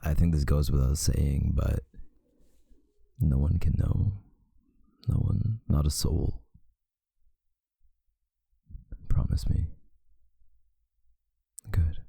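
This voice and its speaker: A man playing a tender, protective vampire lover in an intimate ASMR roleplay, speaking in a husky, hushed voice with deliberate pauses. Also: no room sound, heavily acted. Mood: grave warning. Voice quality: very deep, gravelly, husky, breathy, often near a whisper.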